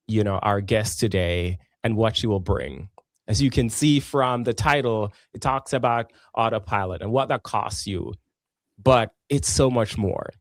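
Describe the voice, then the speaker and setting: Low-voiced man hosting, dry close sound. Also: dry recording. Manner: warm welcoming, curious questioner. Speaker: podcast host. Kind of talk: casual interview hosting.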